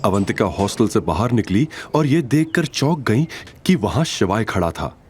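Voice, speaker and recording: A man's voice: lowish voice, sing-song, moderate pace, darkish tone, slightly rough. A man narrating an audio drama in a dramatic, scripted storytelling style.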